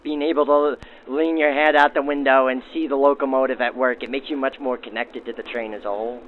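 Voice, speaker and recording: A man's voice: medium pitch, fairly even intonation, brisk. A man hosting on-location interviews in a polite, articulate, formal way, through a vintage 1940s radio filter. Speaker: interviewer and show host. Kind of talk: on-location interview with narrated links. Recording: tinny narrow-band old radio sound.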